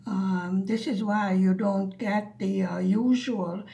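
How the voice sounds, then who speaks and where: A woman's voice: mid-range voice, steady tone, slow, vocal fry, warm tone. A woman with a slight Hungarian-American accent, chatting casually and rambling to viewers while she demonstrates a craft. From United States. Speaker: craft vlogger.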